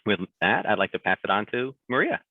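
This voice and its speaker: Deep-voiced guy reading an intro off notes, little uhs, tinny dry call audio. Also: big pitch swings, dry recording. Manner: measured reading with small hesitations. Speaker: moderator.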